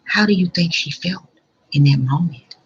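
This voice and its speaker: Husky, low-voiced woman reading to children. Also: highs cut off. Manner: pauses to explain and question listeners. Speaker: school principal reading to children. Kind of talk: children's picture book read-aloud.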